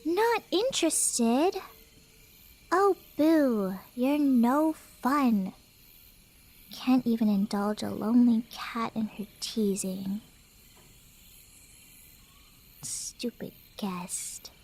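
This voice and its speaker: Woman playing a high-voiced, teasing Cheshire cat girl. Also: drawn-out words, a little mellow, full character voice. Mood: pouty.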